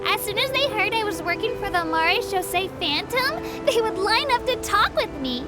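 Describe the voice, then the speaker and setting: Very high, childlike creature tour guide. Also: female voice, big pitch swings, normal speed, loud music behind the voice. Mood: friendly, earnest. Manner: cute, polite, earnest, childlike enthusiasm. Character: small, cheerful, earnest childlike female Melusine tour guide creature. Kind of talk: scripted video game character dialogue, guided tour narration. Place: studio voice recording with music behind.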